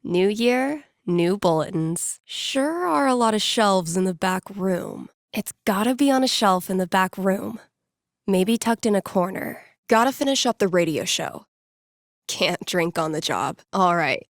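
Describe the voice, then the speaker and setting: A woman's voice: mid-range voice, expressive, measured pace, dry recording. Wry young woman, casual and playfully sardonic, mid-range voice, very dry game recording. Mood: musing. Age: young adult. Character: wry young woman, teasing game-loving friend and radio DJ. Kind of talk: naturalistic video game voice acting.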